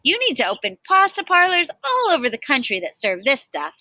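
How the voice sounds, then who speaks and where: A woman voicing an exuberant male celebrity TV chef in a storybook, raving about food in drawn-out exclamations. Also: huge pitch swings, pushed and bright, a little hiss or hum, exaggerated voice. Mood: excited raving. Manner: booming catchphrases, drawn-out exclamations.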